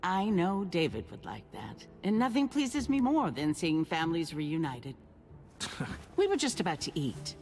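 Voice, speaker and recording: An actress playing a courteous, persuasive female settlement leader in a video game, speaking with genteel, measured politeness. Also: fairly low pitch, huge pitch swings, darkish tone, a little hiss or hum, heavily acted. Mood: gracious, teasing. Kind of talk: naturalistic dramatic game acting. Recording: music under the voice.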